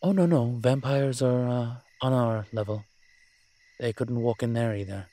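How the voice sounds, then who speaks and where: A man's voice: medium pitch, fairly even intonation, unhurried, mellow, close to an everyday voice. A man playing a roguish incubus demon in a roleplay audio drama, talking in a sly, teasing, conversational way. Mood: wry, explaining. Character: smooth-talking roguish male incubus demon, playful and scheming.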